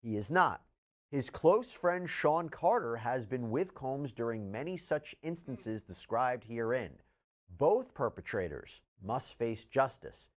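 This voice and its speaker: A man's voice: medium pitch, expressive, takes it slowly. Male legal news presenter, mid-range voice, articulate and emphatic, formal scripted delivery. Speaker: legal news show host.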